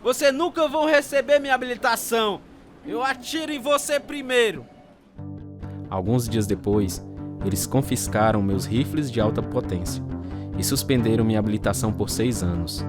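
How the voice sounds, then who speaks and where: A man's voice: medium pitch, expressive, normal speed, lightly acted. An actor playing a troubled, bitter alcoholic man in a dramatized first-person radio story, speaking with a Brazilian accent and grave delivery. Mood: threatening, enraged. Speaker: narrator and protagonist of a radio testimony drama. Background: acoustic guitar music.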